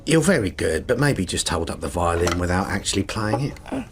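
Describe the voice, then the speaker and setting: Smug, low-voiced, fussy lodger. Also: male voice, very animated, a little fast. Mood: smarmy, coaxing. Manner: pompous, demanding, oily charm. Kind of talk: radio drama acting.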